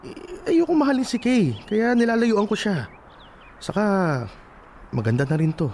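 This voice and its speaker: A man's voice: sits high, huge pitch swings, normal speed, darkish tone, a little hiss or hum. A man acting a stubborn young man who denies being in love, in casual, defensive radio drama dialogue. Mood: resolute.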